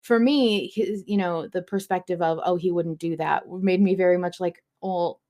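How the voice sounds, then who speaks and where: A woman's voice: on the low side, fairly even intonation, moderate pace, dark tone. A woman co-hosting a podcast, talking casually through her thoughts on a story. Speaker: podcast co-host. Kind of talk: casual discussion of a fiction podcast.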